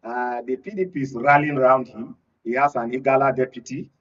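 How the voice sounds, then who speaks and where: A man's voice: mid-range voice, fairly even intonation, brisk. A man with a Nigerian accent speaking as a guest analyst in a political panel discussion, explaining at length and repeating phrases for emphasis. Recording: dull, distant studio sound.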